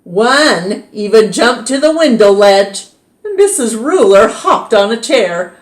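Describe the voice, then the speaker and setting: A woman reading a children's picture book aloud as storytime host, with an expressive read-aloud manner. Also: fairly high pitch, slow. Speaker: storytime reader. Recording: roomy echo.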